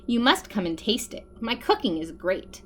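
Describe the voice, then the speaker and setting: A woman's voice: a little high, sing-song, normal speed, a bit bright, soft background music. A woman voicing a friendly male troll in a storybook, boasting about his cooking. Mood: boastful.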